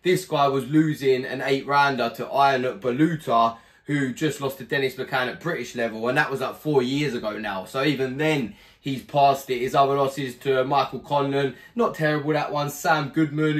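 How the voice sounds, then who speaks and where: A hoarse young man hosting a boxing channel, giving a blunt, animated fight preview to camera from a small home room, swearing freely. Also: mid-range voice. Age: young adult.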